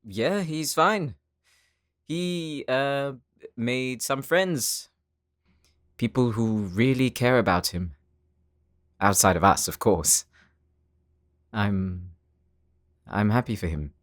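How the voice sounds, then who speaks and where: Man acting an affable, hesitant father, mid-pitched. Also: slow pace, long pauses, natural voice. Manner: polite, hesitant, placating. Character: affable, evasive young father and weapon maker. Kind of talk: anime audio roleplay acting.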